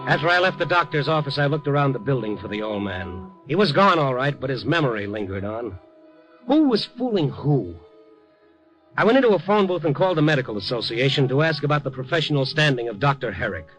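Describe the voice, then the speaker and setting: An actor playing a tough, wry, incredulous newspaper reporter in a 1950s radio drama. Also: male voice, mid-range voice, expressive, a little fast, a bit bright, soft background music, close to an everyday voice, low-quality recording. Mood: wry, narrating. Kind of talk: 1950s radio drama, first-person narration and dialogue.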